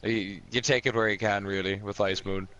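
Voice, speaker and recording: A man's voice: lowish voice, fairly even intonation, normal speed, pushed and bright, dull audio. A man playing an online tabletop role-playing game, talking casually and bluntly with plenty of swearing, over background noise. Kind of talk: casual in-character play and recap.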